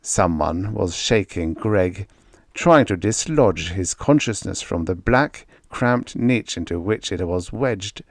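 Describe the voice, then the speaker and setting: A man's voice: deep voice, animated, slow, no echo, studio quality. A man reading a story aloud as a scripted audiobook narration.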